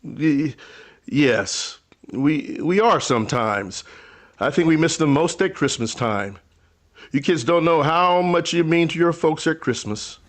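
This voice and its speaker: An actor playing a kindly older rural storekeeper in a radio play, folksy, halting, hospitable and a little wistful. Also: male voice, a little high, lively intonation. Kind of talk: old-time radio drama acting.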